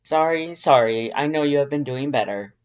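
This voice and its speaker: A woman's voice: a bass voice, normal speed, darkish tone, dull audio. A woman voicing a prissy, verbose male skeleton in an audio drama, with a formal, grandiose, carefully enunciated delivery. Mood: animated, playful. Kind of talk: fan fiction audio drama acting.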